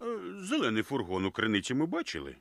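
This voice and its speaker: An easygoing rural policeman with a Ukrainian accent. His speech is folksy and drawling, with huge swings of pitch and a bright, sharp edge, recorded dry and close. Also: wildly swooping pitch, brisk, no echo, heavily acted. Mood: amused, surprised. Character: unhurried, easygoing Ukrainian village policeman and cart driver. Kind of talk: radio play acting.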